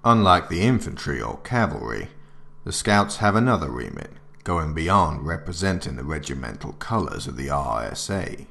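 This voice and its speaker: A man playing a stern army officer reciting regulations, formal, authoritative and deliberate, in scripted audio drama narration. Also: big pitch swings, fairly bright tone, full character voice. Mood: stern instruction. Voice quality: deep, gravelly.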